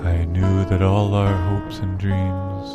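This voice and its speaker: A man playing a wistful, lovelorn astronaut in an audio theatre monologue, halting and solemnly poetic, with deadpan seriousness. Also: sits low. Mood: solemn, mournful. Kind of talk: slow dramatic monologue over guitar, audio theatre. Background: loud plucked guitar music.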